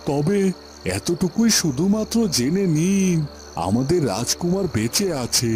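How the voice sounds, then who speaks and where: A man playing an honest, courteous royal astrologer in a fairy-tale cartoon, speaking in a formal, measured manner. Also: sits high, lively intonation, a little mellow, soft background music. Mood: earnest.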